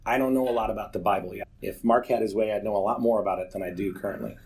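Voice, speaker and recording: A man's voice: fairly low pitch, steady tone, rapid-fire, darkish tone. A man in his forties giving an earnest, candid personal testimony to a church group, telling stories with self-deprecating humor. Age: 40s.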